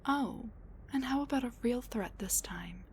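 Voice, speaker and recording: A woman playing a sassy, rambling wounded supervillain with a soft side, talking intimately to the listener in a roleplay audio drama, with breathy asides and sighs. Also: on the high side, lively intonation, unhurried, slight background noise. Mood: playful flirting.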